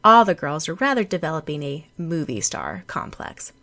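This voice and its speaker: A woman's voice: deep voice, big pitch swings, normal speed, bright, forceful tone. A woman narrator reading a fan fiction audiobook as scripted storytelling.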